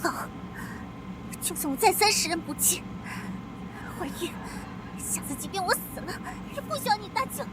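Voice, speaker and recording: A woman voicing a devoted, outspoken young noblewoman with an emotional delivery in an animated period drama. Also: very high voice, normal speed, long pauses. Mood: hurt. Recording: clear background noise behind voice.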